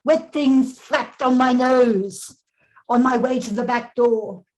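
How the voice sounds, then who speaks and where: Older aussie lady doing a lazy bossy wombat from a picture book, high voice, slow terse little sentences, deadpan. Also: poor audio. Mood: demanding, smug. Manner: terse, deliberate, deadpan self-importance. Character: lazy, demanding wombat keeping a terse diary. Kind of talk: picture book read-aloud.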